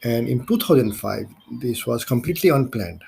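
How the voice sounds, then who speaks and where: A man from Nepal with a Nepali accent hosts a solo podcast, speaking in halting, deliberate phrases. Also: mid-range voice, expressive, creaky, darkish tone, slight background noise. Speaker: podcast host.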